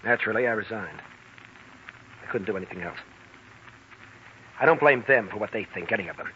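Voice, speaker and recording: Forceful, earnest wronged 1940s radio man. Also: fairly low pitch, expressive, brisk. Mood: bitter, resigned. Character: wronged, indignant man, earnest and increasingly bitter. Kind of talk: 1940s radio drama acting. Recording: muffled, hissy old radio recording.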